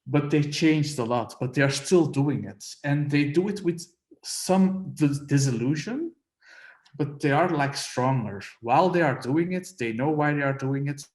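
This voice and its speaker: A man from Belgium, a filmmaker on a panel, answering earnestly and haltingly with repeated words. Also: on the high side, darkish tone. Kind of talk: conversational interview answers. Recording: echoey room.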